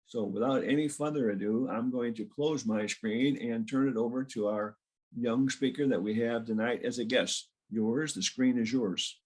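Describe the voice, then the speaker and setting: A man's voice: medium pitch, normal speed, a little mellow, husky, rough recording. Chatty man hosting a club meeting. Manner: chatty, informal, joking. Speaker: club president introducing a speaker. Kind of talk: casual meeting introduction. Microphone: video call.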